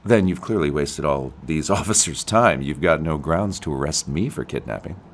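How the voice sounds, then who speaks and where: Very deep-voiced, smug male suspect. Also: expressive, normal speed, slight background noise. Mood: smug, mocking. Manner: sardonic, mock-polite, stammering at times. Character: smug, evasive male murder suspect, condescending husband. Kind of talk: audio drama acting.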